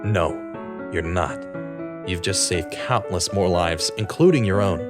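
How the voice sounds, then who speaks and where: A man's voice: low voice, a little slow, music in the background. An actor in an audio drama playing a stern male investigator who mentors a young journalist. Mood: proud, reassuring.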